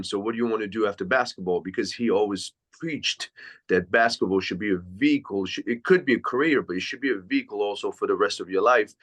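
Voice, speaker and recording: Man from switzerland, deep low voice, reflective, rambling podcast guest. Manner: reflective, long winding answers. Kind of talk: casual interview storytelling.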